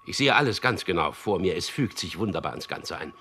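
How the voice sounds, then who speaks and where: An actor in a German radio drama playing a smooth-talking propagandist who tells and acts out his own story in an earnest way. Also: deep voice, lively intonation, fairly quick, pushed and bright, a little hiss or hum, full character voice. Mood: eager, persuasive.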